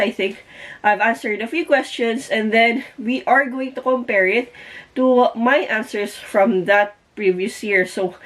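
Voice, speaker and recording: Filipino woman, 30s, casual home vlogger, chatty, mixes Tagalog into English. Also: on the high side. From Philippines. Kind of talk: casual vlog talk. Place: her bedroom at home.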